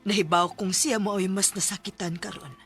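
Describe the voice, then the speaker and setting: A woman's voice: mid-range voice, steady tone, normal speed, close to an everyday voice. An actress with a Cebuano Filipino accent playing a wise, observant mother advising her grown daughter in a radio drama. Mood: gentle, advising.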